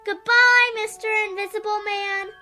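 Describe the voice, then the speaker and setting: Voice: extremely high pitch, very animated, takes it slowly, sharp tone, soft background music, exaggerated voice. A performer playing a sweet, trusting little girl in a radio drama, childlike and eager. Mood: puzzled, playful.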